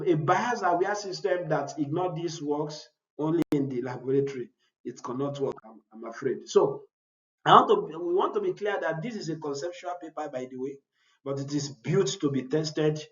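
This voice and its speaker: A man, a Yoruba speaker, presenting a research paper and answering his own rhetorical questions. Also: on the high side. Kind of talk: academic conference presentation. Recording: roomy, dull-sounding audio.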